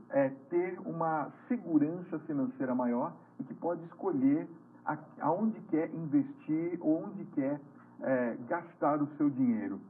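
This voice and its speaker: A man hosting a TV interview, asking chatty, jovial questions. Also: fairly high pitch. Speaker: TV interview host. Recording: tinny phone-like sound with faint noise.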